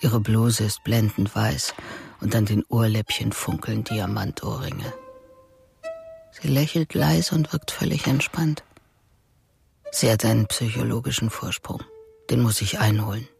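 A man narrating a crime radio drama in a measured, descriptive, scripted style. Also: on the low side, creaky. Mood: observant, tense. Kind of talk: scripted present-tense narration. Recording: loud music under the narration. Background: loud music.

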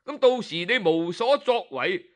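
A man voicing a smug, powerful male minister who drawls with slow mockery. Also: high-pitched, animated, fairly bright tone. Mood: sneering. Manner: drawling, mocking, sarcastic.

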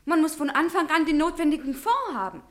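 High-voiced, determined young schemer. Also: female voice, expressive, brisk, fairly bright tone. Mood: persuasive. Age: young adult. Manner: insistent, coolly persuasive. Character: determined, shrewd young woman, scheming out of love. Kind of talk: German radio play acting.

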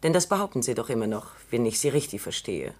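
A cool, exacting female scientist in a radio play. Her voice is very low for a woman, measured and precise as she lays out her arguments. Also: sing-song, darkish tone, exaggerated voice. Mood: lecturing. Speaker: robot psychologist Dr. Calvin. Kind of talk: radio drama acting.

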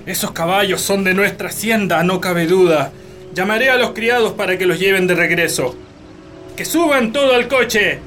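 A man's voice: high-pitched, normal speed, sharp tone, faint music behind. A man with a Chilean accent playing a harsh, domineering landowner in a radio drama, commanding and threatening.

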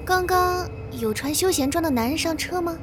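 A woman's voice: extremely high pitch, normal speed, slight background noise. An actress playing an uneasy, inquisitive young female passenger in an audio horror drama. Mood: puzzled, curious. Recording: music behind the voice.

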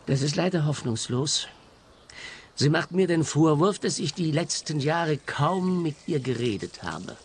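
A man's voice: a little high, animated, measured pace, slight background noise. A man with a Swiss accent acting a resigned middle-aged husband in a radio crime comedy, matter-of-fact and world-weary.

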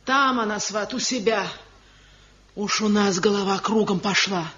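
A woman's voice: medium pitch, measured pace, fairly bright tone, slight background noise, natural voice. An actress playing a sympathetic old village wife and mother-in-law in a radio drama. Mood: sympathetic, aggrieved. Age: older. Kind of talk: Soviet radio drama acting. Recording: dull 1970 radio play recording.

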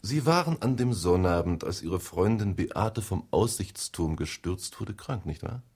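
An actor playing a shrewd middle-aged homicide detective in a radio drama, questioning in a formal, insistent way with a rough voice. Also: male voice, low-pitched, lively intonation, measured pace, a little mellow, heavily acted. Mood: formal, probing. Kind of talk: radio drama interrogation dialogue.

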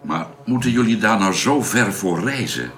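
A man's voice: on the low side, animated, moderate pace, fairly bright tone, a little hiss or hum. A man acting in a radio drama as a thoughtful space traveller who probes with hesitant questions. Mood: questioning.

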